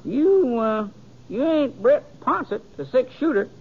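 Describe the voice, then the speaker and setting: An American actor playing a chatty, fussy old checkers player with a folksy, rambling manner in a 1950s radio western. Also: male voice, very high voice, sing-song, a little slow, vocal fry, breathy edge, full character voice. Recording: muffled, noisy old radio recording.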